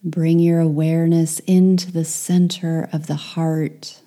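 A woman leading a guided meditation, speaking softly and soothingly, with some phrases whispered. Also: low-pitched, flat delivery, a little slow.

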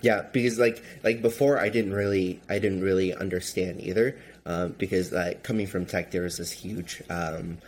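A man's voice: sits low, fairly even intonation, normal speed, few pauses, a little hiss or hum. A man whose first language is Chinese, answering interview questions casually with many fillers and restarts. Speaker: guest, gun group member.

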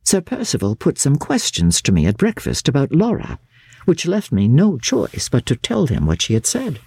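A woman giving a formal, expressive and measured dramatic narration of a diary from a classic novel. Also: extremely low pitch, slightly rough, no room sound. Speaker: audiobook narrator.

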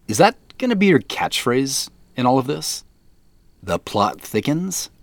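A man's voice: medium pitch, big pitch swings, slow pace, no room sound. A man acting as a guy on a family quest in an audio drama, in natural, conversational, slightly hesitant dialogue. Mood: tired, amused. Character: Joseph, adult man on a mysterious family quest.

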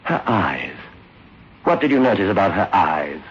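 An actor playing a grave, controlling, secretive brother in an old radio horror drama, deliberate and ominous. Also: male voice, low voice, big pitch swings, slightly rough, full character voice. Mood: solemn, confiding. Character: grave, secretive, controlling brother guarding his afflicted sister. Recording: muffled, noisy old radio recording.

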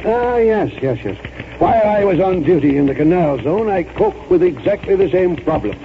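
An actor playing a pompous, stubborn old retired colonel in an oratorical 1940s radio style. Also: male voice, high voice, animated, moderate pace. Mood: eager, insistent. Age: older. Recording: tinny, noisy 1940s radio recording.

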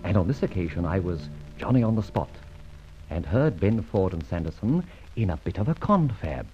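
Debonair radio serial gentleman thief, very lively delivery, theatrical, muffled old recording. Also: low-pitched, moderate pace, soft background music. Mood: narrating. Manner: debonair, amused, theatrical phrasing. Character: suave, enigmatic gentleman thief and adventurer.

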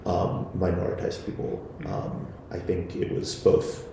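Slow, gravelly low-voiced man. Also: completely flat delivery, dark tone, slight background noise. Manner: hesitant, many fillers and restarts. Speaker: podcast creator being interviewed. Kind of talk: casual interview answers. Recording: very echoey room.

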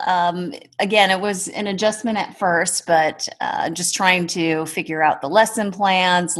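Low husky woman, thoughtful. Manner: measured, thoughtful, with fillers. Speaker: guest. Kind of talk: casual conversation.

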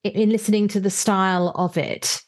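A woman's voice: mid-range voice, steady tone, brisk. A woman speaking as a podcast host, introducing and interviewing in an articulate, explanatory way.

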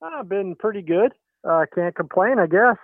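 Casting: middle-aged man, pitching coach calling in by phone, halting delivery, dry and lo-fi. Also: animated, no room sound. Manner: halting, many fillers and restarts. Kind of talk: phone interview. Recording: tinny phone line.

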